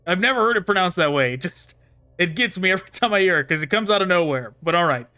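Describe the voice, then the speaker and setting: A man's voice: sits high, lively intonation, quick pace, sharp tone. A man giving casual, informal let's play commentary with amused musing as he plays. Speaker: gaming streamer.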